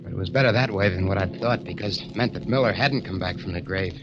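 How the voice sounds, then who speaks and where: A man's voice: sits low, fast talker, background noise, close to an everyday voice. A man playing a nervous small-time crook who narrates his story in tough-guy slang, in a 1940s radio drama. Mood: tense. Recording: muffled old radio broadcast.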